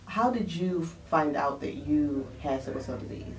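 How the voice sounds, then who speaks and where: A woman's voice: low voice, animated, normal speed. A woman hosting a casual interview show, chatty and frank, asking questions. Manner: chatty, frank, laughs easily. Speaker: host. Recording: roomy sound, distant mic.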